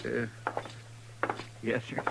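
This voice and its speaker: An actor playing an anxious, folksy deputy with a twangy Western American drawl in a 1950s radio western. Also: male voice, very slow. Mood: folksy, grudging. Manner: folksy, deferential. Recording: noisy old 1950s radio recording.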